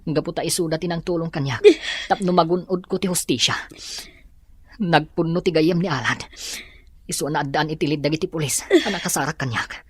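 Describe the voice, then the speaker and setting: A man's voice: fairly high pitch, brisk, slightly rough, no echo. A man playing an earnest, long-suffering dutiful son in an Ilocano melodrama, with an Ilocano accent. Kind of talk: Ilocano radio-style melodrama acting.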